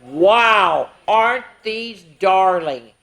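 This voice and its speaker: An older woman hosting a story time, reading picture books aloud to children with playful, animated asides. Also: medium pitch, extremely slow. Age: older, grandmother. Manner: playful, animated, chatting in asides to young listeners.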